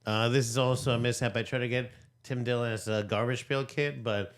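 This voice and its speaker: A man from Peru co-hosting a relaxed podcast, his voice smooth and clear. He rambles along with fillers and restarts, pitched in an ordinary middle range. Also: fairly quick. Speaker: podcast co-host. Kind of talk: casual chat.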